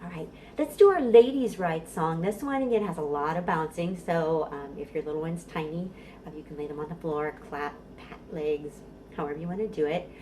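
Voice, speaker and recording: A woman's voice: deep voice, normal speed, dark tone, distant mic, a little hiss or hum. A woman leading a storytime for babies and toddlers, encouraging and playful, giving tips and chanting sing-song rhymes. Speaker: children's librarian leading storytime.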